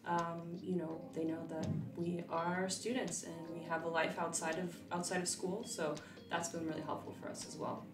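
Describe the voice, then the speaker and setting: A young woman in her twenties whose first language was Russian talks easily and a little low, with plenty of fillers and restarts. She sounds set back from the mic in an echoing room, with music playing beneath her voice. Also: moderate pace, few pauses, far from the mic. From California, USA. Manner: relaxed, chatty, with frequent fillers and restarts. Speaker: interview guest, vet student. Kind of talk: casual interview chat.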